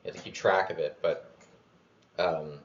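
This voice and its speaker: Deep-voiced man rambling casually. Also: fairly even intonation, moderate pace. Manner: loose, filler-heavy, swears casually. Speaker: vlogger. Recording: dull lo-fi sound.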